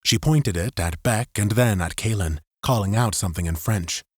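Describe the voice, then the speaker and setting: A man's voice: on the low side, moderate pace, a little mellow, husky, no room sound, clean studio sound. A man narrating dramatic fiction as a scripted audiobook.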